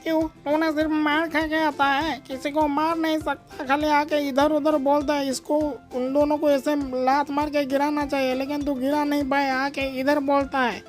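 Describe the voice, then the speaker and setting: A woman voicing a loud, bossy village mother who scolds shrilly and rushes her words in a storybook tale. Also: high voice, flat delivery, faint music behind, voice pushed up, close to an everyday voice. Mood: scolding.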